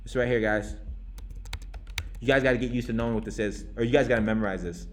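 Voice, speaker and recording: A man's voice: mid-range voice, steady tone, fast, slight background noise. A young man teaching a live classroom coding lesson, talking casually with lots of restarts and checks like "okay?" and "right?". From United States. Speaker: coding instructor.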